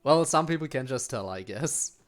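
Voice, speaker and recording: Native German speaker, young adult man with a German accent, casual streamer, mid-pitched and resonant, full of ums and restarts. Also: lively intonation, moderate pace. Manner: chatty, answers chat, frequent ums and restarts. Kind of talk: casual live-stream chat while gaming.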